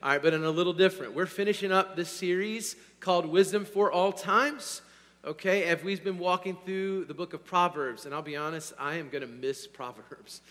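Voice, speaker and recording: A man preaching a sermon to his congregation, hoarse, energetic, swinging between loud bursts and quiet asides and checking in with "all right?". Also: high voice, normal speed. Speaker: pastor. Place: church sanctuary. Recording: long hall reverb.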